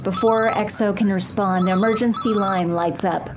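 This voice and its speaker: A woman's voice: mid-range voice, fairly even intonation, normal speed, heavy background noise. A woman reading scripted third-person narration for an audio drama.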